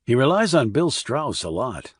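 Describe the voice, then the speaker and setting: A man's voice: mid-range voice, sing-song, moderate pace, husky, dry recording, studio quality. A man voicing a blunt, probing army investigator in audiobook dialogue, terse and questioning.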